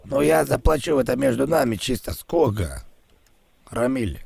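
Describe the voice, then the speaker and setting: A man's voice: medium pitch, expressive, normal speed, vocal fry, darkish tone, husky, dry recording, exaggerated voice. A man voicing a pleading, silly rich businessman in a prank call, stammering and repeating himself as he wheedles. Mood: wheedling.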